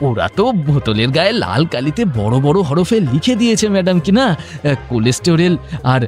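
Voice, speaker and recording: A man's voice: fairly high pitch, sing-song, a little fast, darkish tone, faint music behind. A man playing a meek, earnest tradesman in a comic radio drama, explaining himself hesitantly and deferentially. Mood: anxious explaining.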